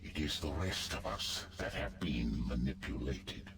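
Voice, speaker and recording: An actor playing a solemn, resolute god of the underworld with a grave, formal manner in a fantasy game. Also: male voice, extremely low pitch, expressive, a little slow, creaky, very noisy, full character voice. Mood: grave warning. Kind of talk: scripted fantasy game dialogue.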